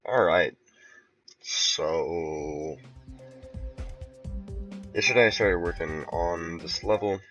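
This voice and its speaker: A man casually talking through his level building on a stream, relaxed and thinking aloud with soft chuckles. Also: low-pitched, drawn-out words, long pauses, no echo, highs cut off, faint music behind. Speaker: game level creator streaming. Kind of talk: casual commentary while building.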